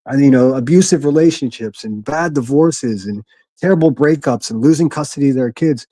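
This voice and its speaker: A man with a gruff voice hosting a solo livestream from his quiet living room, reading chat and speaking bluntly with frequent restarts. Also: mid-range voice, fairly quick, dry recording. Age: adult, a military veteran. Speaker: livestream host.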